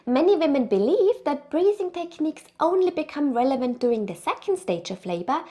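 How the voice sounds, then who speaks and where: A woman's voice: high voice, big pitch swings, fairly quick, warm tone. A woman with an Austrian accent, presenting an educational video as a birth consultant, upbeat and encouraging.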